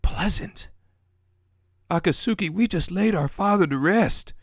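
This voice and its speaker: A man's voice: high-pitched, a little slow, no room sound, studio quality, voice pushed up. A man playing a stern but compassionate lord and grieving head of his house in a dramatic audio roleplay, speaking formally and emphatically. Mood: outraged. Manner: earnest, formal, emphatic, indignant.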